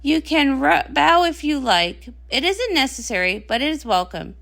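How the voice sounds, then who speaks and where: A woman plays a magical wishing well nymph in a comic read-along, whimsical and grandiose. The voice is high and bright, chatty and full of theatrical swoops. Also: very animated, normal speed, vocal fry, exaggerated voice. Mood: playful, grand.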